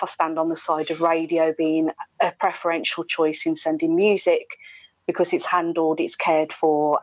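A middle-aged British woman gives conversational answers as a guest. Her voice is on the low side and stays quite level. The video call sound is slightly dull, with a dry, close feel. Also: darkish tone, no echo. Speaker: radio presenter, interview guest. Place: indoor room, video call. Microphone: wired earphones with inline mic.

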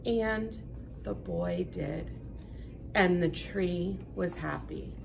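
Woman, storybook read-aloud, gravelly creak, slow delivery, faint background noise. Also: fairly low pitch, sing-song. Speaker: elementary school staff reader.

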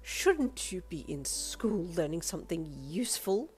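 Storybook villain: entitled rich woman, acted by a female reader, condescending, imperious, pitched up. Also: very animated, a little slow, soft background music. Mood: sneering, dismissive. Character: haughty, sneering, entitled wealthy woman customer.

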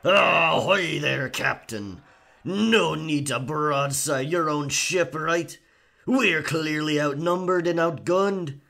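A man acts out a roguish male pirate in an ASMR roleplay. He speaks slowly and theatrically, drawing words out with a sly, cocky charm. The delivery is taunting, like a swaggering bargainer at sea. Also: a little high, creaky, sharp tone, exaggerated voice.

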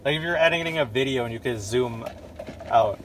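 A man's voice: medium pitch, fairly quick. Young man chatting in a noisy car. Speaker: podcast speaker. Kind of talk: casual reflective chat. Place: inside a car. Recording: noisy car interior, clear background hum.

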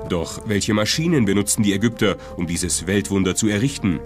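Male narrator for documentary, lower register, scripted read over a prominent music bed. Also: animated, fairly quick.

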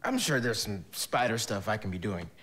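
An actor playing a good-natured, eager teenage superhero with an American accent in a video game. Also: male voice, fairly low pitch, expressive, moderate pace, slightly rough. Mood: awkward, supportive. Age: young adult. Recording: game audio under loud music.

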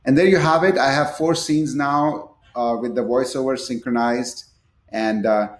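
A man walks through a software demo step by step. His voice sits at a middle pitch, and he talks a bit haltingly. The room around him is clearly echoey. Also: fairly even intonation. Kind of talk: screen-share product tutorial, partly unscripted.

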